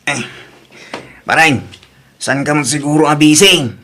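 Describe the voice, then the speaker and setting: Very bright-voiced, concerned Ilocano pal played by a man. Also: a little high, unhurried, full character voice. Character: concerned male friend, earnest and probing. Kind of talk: Ilocano audio drama acting.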